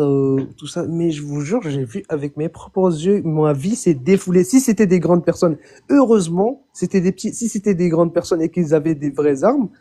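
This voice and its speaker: A young man from Chad calling in to tell his own story, halting, repeating words and often swearing it's true. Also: fairly high pitch, dark tone. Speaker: caller. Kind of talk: phoned-in personal testimony.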